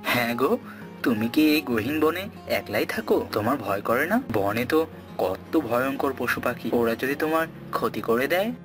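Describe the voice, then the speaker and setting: A gentle young cartoon prince, played by a man, talks in a medium-pitched voice, courteous and affectionate. Music is clearly audible behind him. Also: animated, normal speed, lightly acted. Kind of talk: cartoon character acting.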